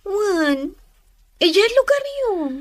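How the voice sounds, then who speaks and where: Woman acting a high-pitched, pouty young girlfriend. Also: big pitch swings, slow pace, dry recording, clean studio sound, heavily acted. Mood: coaxing. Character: demanding young city girlfriend, coaxing and pouty. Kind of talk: audio drama acting.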